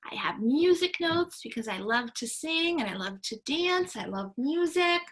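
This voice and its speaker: A woman teaching young children in a read-aloud lesson, encouraging them with sing-song, drawn-out key words and simple instructions. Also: sits high, lively intonation, fairly bright tone, slight background noise. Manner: encouraging, praising young learners.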